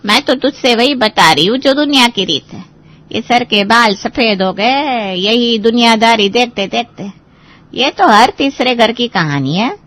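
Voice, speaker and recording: A woman's voice: on the high side, animated, measured pace, a bit bright. A woman playing a cynical, gossipy older aunt in an audio story, worldly-wise and emphatic. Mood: defensive, worldly. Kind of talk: Hindi audio story acting.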